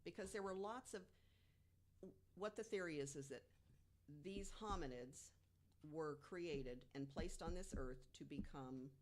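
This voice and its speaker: Low-voiced woman explaining on a podcast. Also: unhurried. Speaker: podcast guest panelist. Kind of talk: informal podcast storytelling from research notes.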